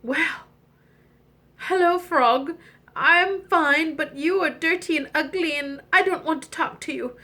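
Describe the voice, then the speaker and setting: A woman's voice: sits high, a little slow, bright, forceful tone. A woman voicing a spoiled, emotional young storybook princess with whiny, dramatic exclamations. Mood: disgusted.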